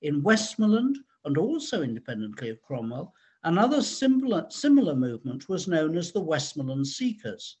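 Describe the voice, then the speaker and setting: A man reading a scripted history talk in a measured, explanatory way. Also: fairly high pitch, sing-song, darkish tone, husky. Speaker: researcher and reader of a local history talk.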